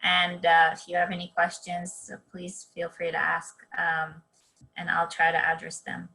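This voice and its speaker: A woman's voice: on the low side, monotone, measured pace, gravelly, bright, forceful tone, poor audio. A young woman from Palestine giving a keynote talk on a webinar. She tells her personal story in an earnest way, with frequent ums and restarts. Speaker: keynote speaker.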